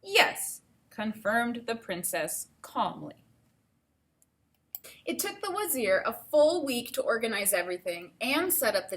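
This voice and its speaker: Woman, primary-teacher read-aloud: slightly high pitch, very bright tone, expressive and keen, explains things to young listeners. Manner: enthusiastic, explaining to children. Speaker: teacher reading a picture book aloud. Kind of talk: expressive children's read-aloud with instructional asides.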